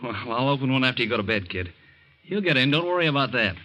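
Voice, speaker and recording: A man's voice: medium pitch, very animated, fast, bright, forceful tone. A man playing an earnest young American ex-hoodlum and fond uncle in a 1940s radio drama, talking in casual slang. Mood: gentle, amused. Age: young adult. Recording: muffled old-time radio recording.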